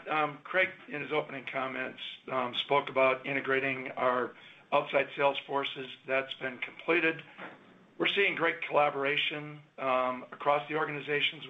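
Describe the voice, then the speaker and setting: Executive man over a tinny phone line. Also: mid-range voice. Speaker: company executive. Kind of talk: earnings call answers.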